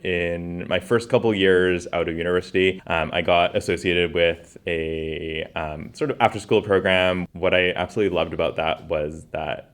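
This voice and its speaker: A man who teaches home economics, giving an earnest, steady interview answer. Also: deep voice, moderate pace, few pauses.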